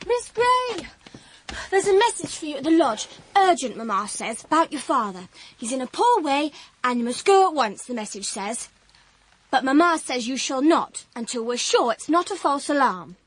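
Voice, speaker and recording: An actress playing a blunt, excitable young daughter of the house in a period audio drama. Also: female voice, high-pitched, moderate pace. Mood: urgent, blunt.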